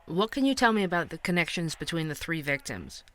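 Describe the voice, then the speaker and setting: A true-crime podcast host, with a slightly high male voice. He narrates a scripted audio drama in a measured, inquisitive way, as if digging into a case, with faint music behind him. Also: sing-song, a bit bright. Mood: measured, puzzled.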